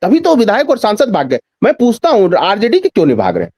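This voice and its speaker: A man with a high, lively voice that rises and falls widely, recorded dry and close. He speaks as a political pundit on a news discussion, driving points home with emphatic repetition. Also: fast talker, no room sound. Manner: emphatic, repeats phrases for rhetorical effect. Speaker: political commentator, interview guest.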